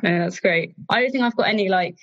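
A woman's voice: mid-range voice, fast talker, a bit bright, dry recording, lo-fi sound. A twenty-year-old woman co-hosting a casual podcast, light and giggly as she talks. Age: young adult. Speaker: podcast co-host.

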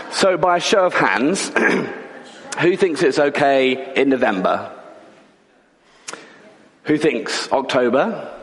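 Croaky preacher in a church hall. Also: male voice, medium pitch. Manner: chatty and humorous, frequent throat clearing. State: croaky from a cold. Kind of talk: sermon. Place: church building.

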